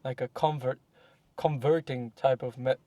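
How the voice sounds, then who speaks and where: A man's voice: mid-range voice, fairly even intonation, moderate pace, dark tone, dry recording. A young man talking casually and reflectively in a podcast chat, with many fillers and self-corrections. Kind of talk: casual conversation.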